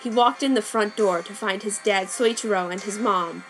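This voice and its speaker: A woman narrating a fanfic as a dramatic reading, in a deadpan, mock-serious tone. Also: mid-range voice, normal speed, poor audio. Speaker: reader. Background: faint background music.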